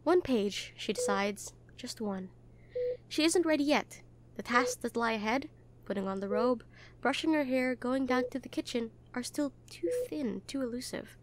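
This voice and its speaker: A woman with a high voice reads literary prose aloud. She phrases it expressively, in the manner of a story narrator. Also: lively intonation, normal speed, a little hiss or hum. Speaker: audio roleplay voice actor reading a novel aloud. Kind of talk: expressive literary book reading.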